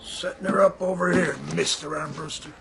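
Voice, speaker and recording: An actor playing a blunt, indifferent maintenance worker in a radio drama, gruff and offhand. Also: male voice, high voice, steady tone, moderate pace, noisy background.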